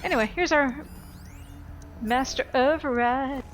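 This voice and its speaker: A woman's voice: sits high, a little slow, faint music behind. A woman giving casual, unscripted let's play commentary in a blunt, sweary, opinionated manner. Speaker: gaming video host.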